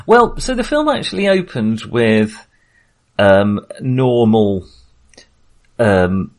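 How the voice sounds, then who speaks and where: A man with a fairly low voice hosts a casual film discussion. He speaks haltingly, pausing to search for words as he goes, in a conversational podcast style. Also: sing-song, darkish tone. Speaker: podcast host.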